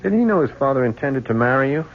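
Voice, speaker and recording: An actor playing a patient police lieutenant who questions a suspect calmly and pointedly. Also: male voice, mid-range voice, fast. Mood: probing. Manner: calm, probing. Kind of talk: 1970s radio drama acting. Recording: dull old radio recording with faint hiss.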